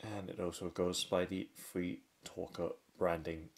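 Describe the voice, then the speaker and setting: A man giving unhurried, step-by-step product unboxing commentary indoors at a tabletop. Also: low voice, flat delivery. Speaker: product reviewer. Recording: faint handling and crinkling noise. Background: faint clicks and packaging crinkle.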